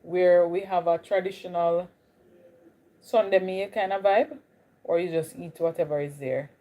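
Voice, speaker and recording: A woman's voice: lowish voice, steady tone, measured pace, mellow. A young woman with a Jamaican accent, chatting casually with viewers on a live stream. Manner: chatty, greets viewers, drifts between Caribbean accents. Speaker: livestream host.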